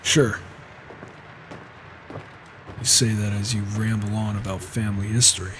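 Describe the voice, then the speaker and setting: A man's voice: on the low side, fairly even intonation, a little slow, lightly acted. A man roleplaying a cocky, sardonic wandering warrior in a video game, talking casually in character. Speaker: roleplaying gamer. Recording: faint noise, flawed microphone.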